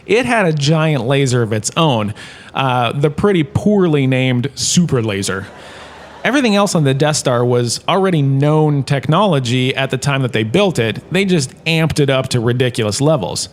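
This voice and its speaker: A man playing a jaunty, opinionated history professor in a comedic audio drama lecture, chatty and theatrical, with emphatic pauses. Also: medium pitch, expressive, close to an everyday voice. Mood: wry lecturing. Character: washed-up actor turned jaunty, opinionated male history professor.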